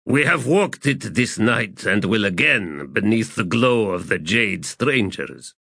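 A man's voice: on the low side, lively intonation, slow, gravelly, pushed and bright, slightly rough, no room sound, exaggerated voice. Male reader doing a drawling, sardonic priest, weary and tormented, dry sound.

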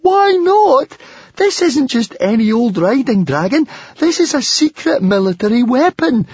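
A man voicing a timid, worrying Viking boy with a squeaky, fretful, protesting way of talking, from a storybook. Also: sing-song, unhurried, slightly rough, no echo, highs cut off, exaggerated voice. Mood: panicked protest.

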